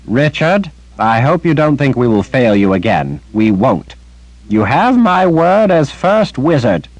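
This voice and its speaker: A man voicing an elderly male wizard, a fretful, warm grandfather, with a high, quavering old voice. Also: big pitch swings, a little slow, no echo, low-quality recording. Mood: earnest.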